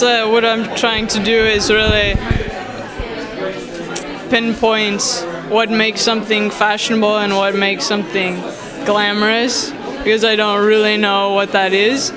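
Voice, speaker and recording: A painter speaks slowly and flatly, searching for the right words. The chatter of a crowd in a large hall fills the background. The voice stays at a mid pitch. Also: music in the background. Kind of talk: interview answers. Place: busy art fair hall.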